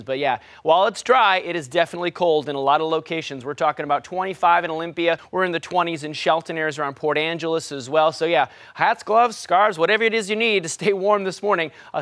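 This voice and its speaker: A man's voice: on the high side, a bit bright. An American man presenting a TV weather forecast in a loose, ad-libbed style.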